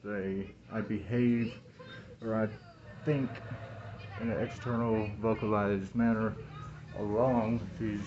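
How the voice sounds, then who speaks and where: A man in his thirties in a rambling, halting monologue, repeating words and phrases, recorded on a phone indoors through a cloth mask. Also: lowish voice, level tone, darkish tone, dull audio, a little hiss or hum. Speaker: vlogger talking to camera. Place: small room indoors. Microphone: phone at arm's length, speaking through cloth mask.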